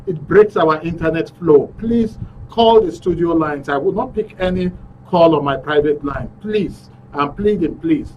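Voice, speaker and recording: A courteous man with a Nigerian accent hosts a live call-in talk show. His voice sits a little high for a man. The sound is dull and lo-fi, as if he is some way from the mic, with faint noise behind. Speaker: radio call-in host. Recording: muffled, distant, low-fidelity live stream.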